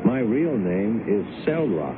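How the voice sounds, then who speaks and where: A man's voice: fairly low pitch, sing-song, slow, background noise. An actor playing a bemused, wistful alien who passes as an ordinary American man in a radio drama, hesitant and plain-spoken. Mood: confiding. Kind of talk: radio drama acting with first-person narration. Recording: tinny old radio broadcast with music.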